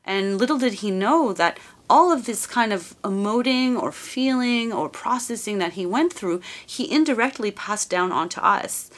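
Reflective woman with mid-range voice. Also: mid-range voice. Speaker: interview guest. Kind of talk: reflective talk.

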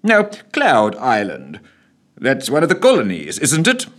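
A man's voice: medium pitch, huge pitch swings, measured pace, fairly bright tone, pitched above the performer's own voice, heavily acted. A man voicing a wry, unflappable male prime minister in a storybook reading. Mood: dry.

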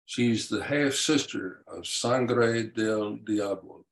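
A male author tells a historical tale as a guest on a show. His voice sits fairly low, and he speaks very slowly, measuring out each phrase with deliberate care. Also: gravelly. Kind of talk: reading his own historical story and explaining it.

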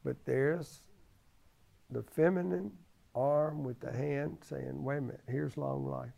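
Creaky-voiced man in his seventies, slow-paced. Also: mid-range voice, steady tone, warm tone. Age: 70s. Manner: halting, repeats phrases for emphasis. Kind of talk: conversational religious teaching.

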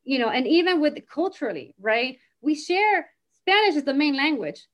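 A woman with a fairly high voice talks as a guest in a relaxed conversation. She keeps adding "you know" and "right?" between her points. The sound is dull and lo-fi. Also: moderate pace. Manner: talkative, peppers speech with "you know" and "right?". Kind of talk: casual conversational interview.

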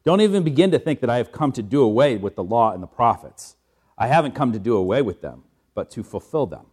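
A male pastor explaining the passage in a conversational way, then reading the gospel in a measured, solemn voice. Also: mid-range voice, sing-song, darkish tone, slightly rough. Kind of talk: sermon introduction and gospel reading. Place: church sanctuary.

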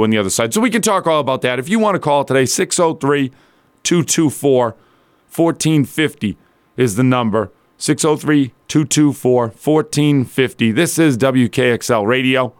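A male sports radio host with a medium-pitched voice that sounds a little rough and worn. He talks in a loose, colloquial monologue full of conversational asides. The sound is close and dry, as in a radio studio. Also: no echo. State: slightly rough, strained voice from yelling.

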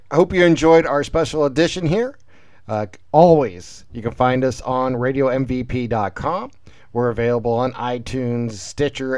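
A man hosting a sports podcast, talking in a relaxed, rambling way full of fillers. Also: medium pitch. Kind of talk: casual sports interview. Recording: tinny phone-like sound.

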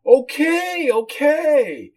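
Man putting on a whiny, high-pitched middle-aged woman. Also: very animated, drawn-out words, darkish tone, exaggerated voice. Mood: brisk, eager. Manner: brash, familiar, playful. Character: whiny, chatty, tubby gray-haired woman in her fifties.

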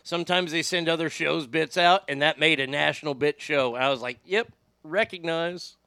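A middle-aged man with a high voice that lacks bass, telling profane, animated stories as a radio host. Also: normal speed, clear, resonant tone, no echo, studio quality. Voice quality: high voice without much bass. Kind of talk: casual storytelling podcast.

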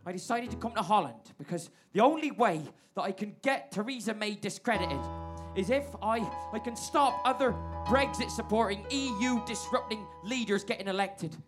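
A man's voice: high voice, normal speed, music in the background. A man improvising in a comedy sketch, hesitant and stumbling. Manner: hesitant, full of ums and restarts.

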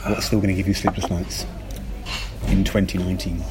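A man's voice: low voice, flat delivery, fairly quick, heavy background noise. A man hosting a podcast interview in a conversational style, putting questions to his guest.

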